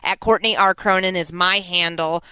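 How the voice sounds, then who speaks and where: Female sports talk host, American, forceful and clean, slightly low register, tight dry studio sound. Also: fairly even intonation, normal speed, clean tone, no room sound. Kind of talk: sports talk radio commentary.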